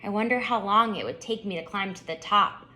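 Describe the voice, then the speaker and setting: A woman voicing an excitable, curious young schoolgirl in a storybook, eager and earnest. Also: mid-range voice, fairly quick, lightly acted. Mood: admiring. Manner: eager, wide-eyed, earnest.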